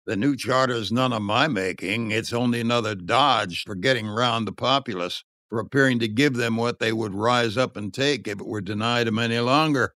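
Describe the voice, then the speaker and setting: A man's voice: medium pitch, normal speed, hardly any pauses, sharp tone, no echo, clean studio sound, close to an everyday voice. A man voicing a shabby, shrewd, cynical reformer in an audiobook, amused and contemptuous. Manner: contemptuous, sardonic. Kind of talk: audiobook character acting.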